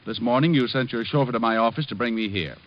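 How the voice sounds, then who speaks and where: A man's voice: medium pitch, fast, a little hiss or hum, lightly acted. An actor in an old radio noir drama playing a wry, cocky hard-boiled private detective who wisecracks as he talks and narrates. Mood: sardonic, cool. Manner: wisecracking, sardonic. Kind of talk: 1940s-50s radio noir acting and narration. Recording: muffled old radio recording.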